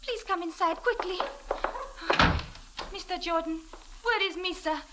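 A woman's voice: extremely high pitch, a little slow, heavy background noise. An actress playing an anxious, pleading young woman in a 1940s radio drama, speaking formal broken English. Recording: old radio drama recording.

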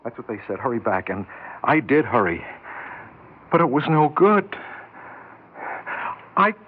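Earnest wistful everyman, husky, animated mid-range voice, halting, dull old radio drama recording. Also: mid-range voice. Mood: regretful, agitated. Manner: halting, wistful, self-deprecating. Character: earnest, yearning ordinary man recounting his lost chance. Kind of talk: 1960s radio drama acting. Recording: dull 1960s radio recording, music behind.

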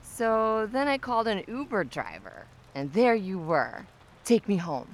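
A woman's voice: medium pitch, sing-song, measured pace. A woman playing a tipsy, nosy and pushy young neighbour in a comic audio drama, chatty, teasing and insistent. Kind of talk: audio drama comedy acting.

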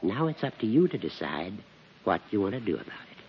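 Low male voice, kindly American judge, hugely varied intonation, gentle, old radio drama, muffled. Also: big pitch swings, fairly quick, creaky. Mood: regretful. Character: considerate male judge, an old family friend. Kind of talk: 1940s radio acting. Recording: muffled, noisy 1940s radio recording.

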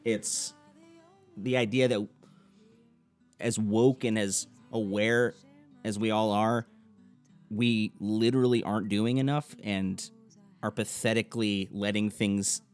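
A man's voice: lowish voice, fairly even intonation, slow, pauses often, faint music behind. A man hosting a podcast interview, talking loosely and thoughtfully with lots of "you know"s. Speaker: podcast host. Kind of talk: casual conversational interview.

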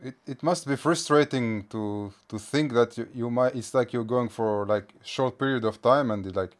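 A man hosting a casual interview podcast, friendly and quick to laugh, asking questions with small stumbles. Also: mid-range voice. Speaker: podcast host.

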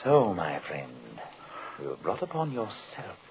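Low-voiced, formal, shrewd foreign detective. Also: male voice, very animated. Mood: sardonic. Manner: formal, measured, deliberate phrasing. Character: calm, shrewd foreign male occult detective. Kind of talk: 1940s radio mystery acting. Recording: tinny, faintly noisy 1940s radio recording.

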